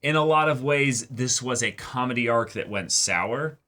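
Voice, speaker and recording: A man co-hosting a reaction show, talking through his analysis casually in a halting, emphatic way. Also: mid-range voice, bright, forceful tone.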